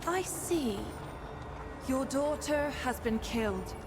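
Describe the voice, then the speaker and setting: A woman playing an ethereal, playful and probing seer spirit in a fantasy game, speaking in a deliberate, mysterious, riddling way. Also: high-pitched, animated. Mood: measured, probing. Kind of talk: theatrical fantasy game dialogue. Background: music.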